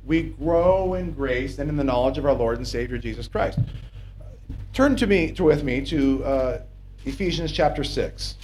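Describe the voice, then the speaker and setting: A man's voice: mid-range voice, expressive, moderate pace, a little mellow, slight background noise, low-quality recording. A man preaching a sermon from the pulpit of a small church, emphatic and conversational, often checking in with "okay?". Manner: emphatic, rising to insistent peaks, asks the congregation "okay?".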